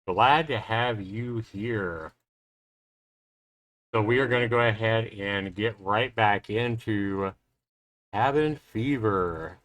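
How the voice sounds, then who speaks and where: A man's voice: on the low side, slow, long pauses, fairly bright tone, no room sound. A man hosting a livestream, recapping a visual novel in a chatty, informal way. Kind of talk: casual livestream recap, then reading game text aloud.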